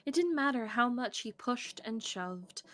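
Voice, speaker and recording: A woman's voice: fairly high pitch, lively intonation, a little slow, fairly bright tone, no room sound. A woman streamer reading a visual novel's narration aloud, with casual asides. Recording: faint background noise.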